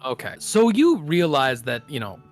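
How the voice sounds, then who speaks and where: A man narrating as game master of a tabletop game, relaxed and pausing often with fillers. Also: on the high side, faint music behind. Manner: relaxed, many filler pauses.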